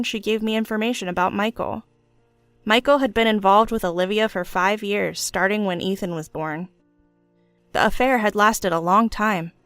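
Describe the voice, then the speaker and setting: A woman's voice: medium pitch, fairly bright tone, dry recording. A woman narrates a scripted first-person story in a mid-range voice. The recording is very dry, and music plays behind her. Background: background music.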